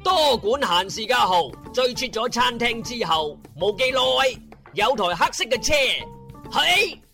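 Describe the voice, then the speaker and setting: A man with a Cantonese accent narrating a comic internet radio drama in a theatrical, colloquial storytelling style with drawn-out words. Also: very high voice, sing-song, creaky, sharp tone, soft background music.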